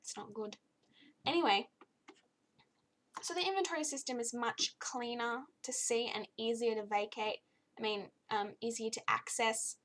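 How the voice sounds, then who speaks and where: Slightly croaky, chatty young woman reviewer. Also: on the high side, normal speed, a bit bright. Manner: chatty and easily exasperated, with frequent fillers. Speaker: game reviewer. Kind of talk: casual spoken game review.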